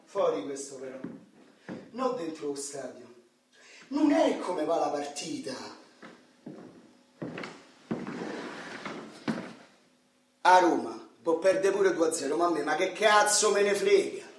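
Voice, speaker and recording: An actor playing a brash, foul-mouthed Roman football hooligan and petty crook, coarse and boastful in a Roman accent, in a radio drama. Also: male voice, on the high side, very animated, moderate pace. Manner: boastful, coarse, shouting outbursts. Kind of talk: stage-style radio drama acting. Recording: distant, very echoey hall with background noise.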